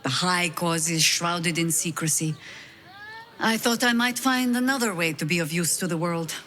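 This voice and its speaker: A woman's voice: on the low side, fairly bright tone, slight background noise, lightly acted. Woman acting a wry, weary veteran adventurer woman. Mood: weary, self-mocking. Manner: wry, measured, self-deprecating. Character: weary, wry veteran high-ranking woman harper agent. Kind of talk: video game dialogue acting, reflective storytelling.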